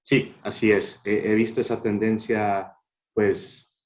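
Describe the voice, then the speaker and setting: A man's voice: on the low side, flat delivery, takes it slowly, darkish tone, poor audio. A man from Honduras working in hotel consulting, asking questions on a webinar video call in a hesitant way with restarts. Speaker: hospitality consultant, audience member.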